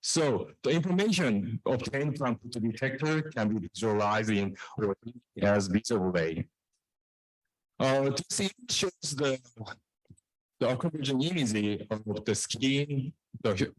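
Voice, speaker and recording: A man presents technical research. His voice sits at an average male pitch. He moves haltingly, often restarting and repeating phrases, and the sound is dry with little room in it. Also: no echo, rough recording. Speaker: researcher presenting own work.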